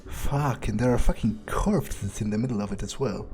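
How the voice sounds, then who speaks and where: A man's voice: on the low side, normal speed, darkish tone. A man muttering and swearing as he thinks aloud in character while playing a game. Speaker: game player.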